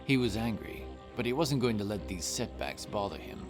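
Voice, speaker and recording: Narrator: man with a very low voice, scripted fantasy storytelling, soft music bed underneath. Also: animated, moderate pace.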